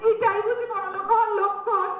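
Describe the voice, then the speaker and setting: A woman playing an excitable, greedy, naive village wife in a comic folk drama, gushing and chattering. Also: very high-pitched, fairly quick. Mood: giddy. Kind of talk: Bengali comic domestic stage drama. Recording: very echoey, distant, dull, faintly noisy.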